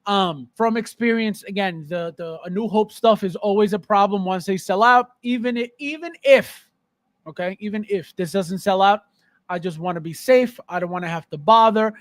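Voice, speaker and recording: A man's voice: sits high, moderate pace, no echo. A man hosting a casual live stream about collectibles, conversational, repeating phrases for emphasis and checking in with the listener.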